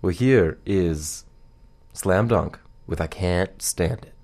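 Male radio co-host, very low voice, loose conversational delivery. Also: slow, background noise. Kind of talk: casual morning radio chat.

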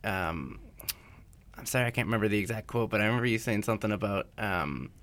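A man's voice: fairly low pitch, quick pace, a bit bright. A man interviewing a musician, eager and casual, with many fillers. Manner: enthusiastic, filler-heavy.

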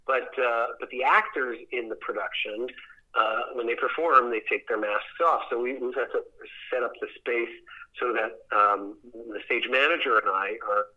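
Muffled, band-limited audio like a radio call carries a man's voice at an average pitch. He speaks conversationally as an interview guest, thinking aloud in rambling answers and doubling back on words as he goes. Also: normal speed. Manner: rambling, thoughtful, repeats words as he searches. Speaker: theater director, interview guest.

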